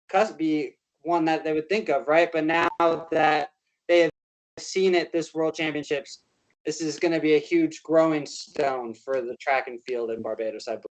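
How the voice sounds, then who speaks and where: Earnest higher-voiced man on a panel. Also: rough recording. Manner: earnest, reflective, asks "right?" often. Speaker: panel guest. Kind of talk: casual sports panel chat.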